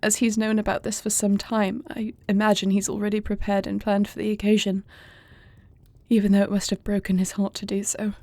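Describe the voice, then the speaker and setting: A woman's voice: medium pitch, monotone, a little fast, mellow, dry recording, natural voice. A woman playing a grieving young warrior princess about to become queen, speaking formally and earnestly in an intimate fantasy audio roleplay. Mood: composed, sorrowful. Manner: formal, earnest archaic phrasing.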